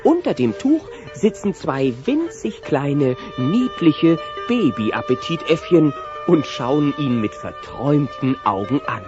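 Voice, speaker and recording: A man narrating a scripted children's audio story in an expressive storytelling style. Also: on the high side, slow pace. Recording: dull lo-fi cassette transfer. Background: music.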